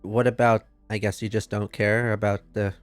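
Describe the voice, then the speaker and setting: A man's voice: fairly low pitch, level tone, normal speed. A man playing his character in a tabletop game, talking hesitantly and slipping in and out of character. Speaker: player. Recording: faint background music.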